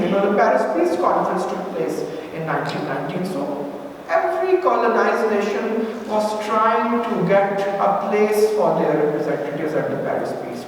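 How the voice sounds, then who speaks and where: A man with a Pakistani accent gives a public lecture in a discursive, semi-improvised way, often adding "you know" as an aside. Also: sits high. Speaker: speaker giving a history talk. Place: indoor event room at a museum. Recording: very echoey hall, distant mic, faint noise.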